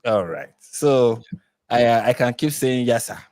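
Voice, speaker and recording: A man's voice: mid-range voice, animated, measured pace, darkish tone, no room sound. A man from Nigeria hosting a casual live stream, rambling with lots of fillers and restarts. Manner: rambling, many fillers and restarts, laughs often.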